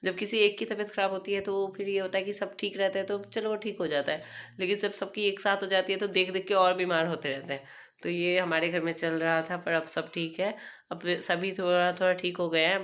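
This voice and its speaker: A young woman, hoarse from a cold, chatting casually as she narrates her home cooking vlog. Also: lowish voice, a bit bright. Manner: chatty, homely, meandering. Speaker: cooking vlogger.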